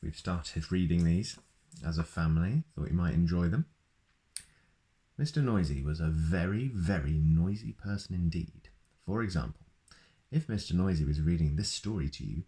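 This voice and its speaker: A man's voice: sits low, expressive, normal speed, mellow. A man reading a children's picture book aloud in a playful way, adding sound effects. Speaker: storyteller.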